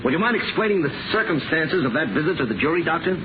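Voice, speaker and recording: An actor plays an earnest, forceful defense lawyer in an old radio drama. He talks fast with a very mobile, slightly high voice. The sound is tinny and noisy. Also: lively intonation, lightly acted. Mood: brisk questioning. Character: brisk male defense lawyer, frank, forceful. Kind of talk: 1950s radio drama acting.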